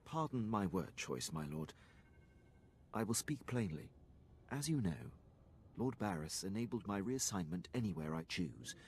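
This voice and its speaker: A man's voice: deep voice, darkish tone, a little hiss or hum. An actor playing an earnest, loyal Imperial officer in a video game, formal and precise in his deference. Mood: deferential. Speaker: game character, military officer.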